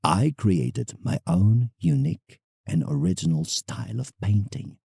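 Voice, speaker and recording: A man's voice: fairly low pitch, lively intonation, a little slow, gravelly, warm tone, breathy edge, dry recording, studio quality, heavily acted. An actor playing a proud, wounded, sentimental male painter, reading his letter in a theatrical, confiding way for an audio drama.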